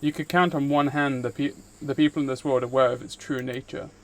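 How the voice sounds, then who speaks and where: A man's voice: medium pitch, fairly even intonation, a little fast, low-quality recording. Male streamer reading a story aloud. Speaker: streamer, VTuber host. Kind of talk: live stream, reading visual novel text aloud and chatting to viewers.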